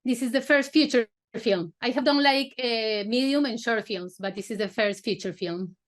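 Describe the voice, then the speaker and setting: A woman with a slightly high voice, a native Spanish speaker from Colombia, talks about her film in easy, searching English. She restarts phrases and fills pauses while she hunts for the right word. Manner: searching for words, many fillers and restarts. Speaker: filmmaker guest. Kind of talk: casual interview answers.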